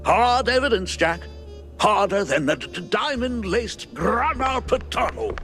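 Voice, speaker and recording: Earnest stutterer with highish voice. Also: male voice, animated, a little slow, music in the background. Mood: earnest, urgent. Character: earnest, anxious stuttering male ally of detective.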